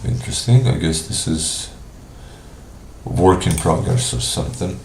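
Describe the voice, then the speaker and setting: Stuffy-nosed man with a deep voice. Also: expressive, creaky, a little mellow, roomy sound, slight background noise, low-quality recording. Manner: casual, thinking aloud with pauses. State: congested from a cold. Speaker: software trainer. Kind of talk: live screen-share walkthrough.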